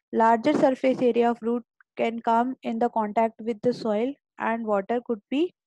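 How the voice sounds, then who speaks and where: Woman teacher with a mellow, dry voice. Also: fairly high pitch, no echo, rough recording. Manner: explanatory, repeats and restarts words, stern with students. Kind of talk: online class lecture.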